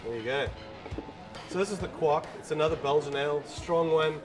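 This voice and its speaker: A man's voice: on the high side, normal speed. An upbeat man with a slightly raised voice introducing beers as co-host. He laughs easily, and music plays behind him. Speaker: co-host of a beer show. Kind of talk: casual beer tasting chat.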